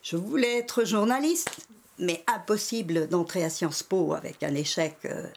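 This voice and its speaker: An older French woman recalling her schooling and early adult life in an interview, telling it in a measured way in the present tense. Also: fairly low pitch, creaky, a little hiss or hum. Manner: measured storytelling in the historic present. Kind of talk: oral history interview.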